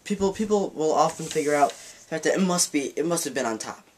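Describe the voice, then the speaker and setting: A man's voice: fairly high pitch, animated, fast talker, slight background noise. A man teaching a card trick in a casual tutorial, rambling and correcting himself as he handles the cards at a table in a dim room. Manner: rambling, self-correcting, demonstrating while talking. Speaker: magic teacher.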